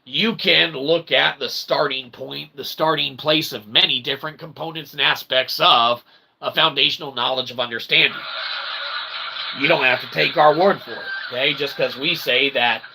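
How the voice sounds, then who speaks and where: A man hosting a philosophy monologue, speaking in wordy pseudo-academic phrases with slangy asides and a gravelly, creaky voice. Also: mid-range voice, steady tone, normal speed, bright, forceful tone, slight background noise, rough recording. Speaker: host of an independent philosophy show.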